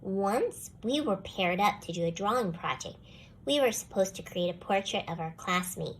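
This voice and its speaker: Animated schoolgirl storyteller, acted by a woman, noisy recording. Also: medium pitch, normal speed, lightly acted. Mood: indignant. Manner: expressive, complaining first-person storytelling. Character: jealous young schoolgirl, grudgingly softening toward the dog. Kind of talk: scripted children's storybook read-aloud.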